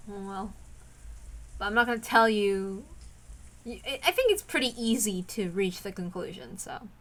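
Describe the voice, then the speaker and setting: A woman's voice: medium pitch, lively intonation, moderate pace. A woman streaming a visual novel read-aloud, moving between narration and informal, rambling asides where she interrupts herself. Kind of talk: casual chat and story read-aloud.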